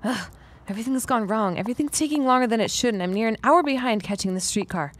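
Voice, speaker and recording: A woman's voice: fairly high pitch, fast, close to an everyday voice. A woman playing a frank, plain-spoken, dryly witty working woman in a period audio drama. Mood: flustered, exasperated.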